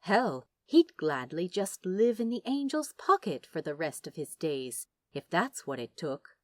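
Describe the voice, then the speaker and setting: Woman, fiction narrator, wry, dramatic, deliberate, lively swooping intonation, dry close sound. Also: on the low side, no room sound. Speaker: audiobook-style narrator. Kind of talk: expressive scripted fiction narration.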